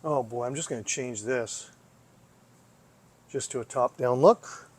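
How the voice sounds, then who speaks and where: A man's voice: medium pitch, a little slow, slightly rough. A man giving casual hands-on commentary as he tinkers, thinking aloud and often asking himself questions. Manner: musing, talks to himself, asks himself questions. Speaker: vlogger, hobby repair host.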